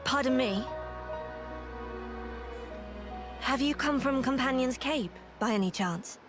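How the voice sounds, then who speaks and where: A woman playing a patient, sincere townswoman in a video game, explaining things politely and gently. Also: high voice, normal speed, music in the background, natural voice. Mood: polite concern. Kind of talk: video game cutscene acting.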